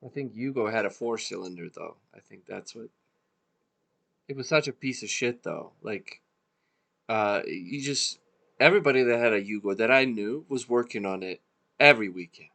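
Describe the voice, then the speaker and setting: A man born in Serbia, co-hosting a podcast in a relaxed, casual chat, swearing freely and trailing off mid-thought. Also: lowish voice, normal speed, vocal fry. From Serbia (former Yugoslavia). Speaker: podcast co-host.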